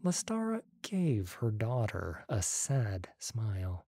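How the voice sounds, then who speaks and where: A man narrating an audiobook story in a measured, expressive way. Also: lowish voice, husky, no room sound, studio quality.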